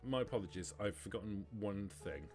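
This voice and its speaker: A man's voice: lowish voice, animated, a little fast, darkish tone. A man running a tabletop roleplaying game as game master, narrating scenes and explaining the rules. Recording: music behind the voice.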